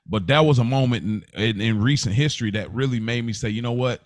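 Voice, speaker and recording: A man's voice: medium pitch, fast talker, no echo, studio quality. A man speaking casually and earnestly in informal slang, telling personal stories as a podcast co-host. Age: adult.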